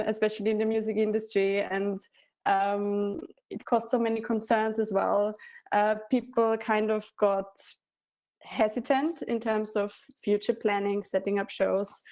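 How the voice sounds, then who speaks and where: Give me a woman speaking in a level, even tone, hesitant and friendly. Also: mid-range voice. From Austria. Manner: friendly, hesitant, many ums. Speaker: cultural forum music officer. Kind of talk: conference introduction.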